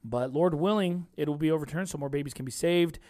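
A man's voice: a little high, quick pace, warm tone, no echo, studio quality. A man in his thirties hosting a podcast, chatting in a laid-back, rambling way and laughing often. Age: 30s. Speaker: podcast host. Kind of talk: casual chat.

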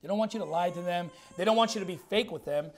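A man's voice: sits high, fast. A man in his thirties preaching a fiery, blunt sermon in a church while losing his voice. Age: 30s. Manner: emphatic, blunt, repeats words. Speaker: pastor.

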